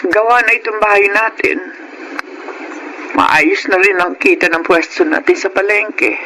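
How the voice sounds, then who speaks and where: A woman's voice: mid-range voice, a little slow, background noise, natural voice. Worried, moralizing mother voiced by a woman. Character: worried, well-meaning mother of grown children. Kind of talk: Filipino radio drama acting.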